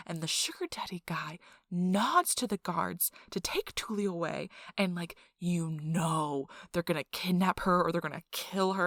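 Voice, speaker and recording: Excitable nerdy girlfriend, acted by a woman, lowish voice. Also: dry recording, studio quality. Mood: excited, suspenseful. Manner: animated, breathless emphasis, frequent "like" asides. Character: excitable nerdy young girlfriend rambling about her tabletop game. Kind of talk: ASMR role-play monologue, casual rambling storytelling.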